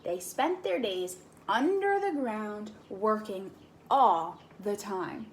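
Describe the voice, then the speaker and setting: Slow, animated woman reading a storybook. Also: medium pitch, slight background noise. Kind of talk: storybook read-aloud.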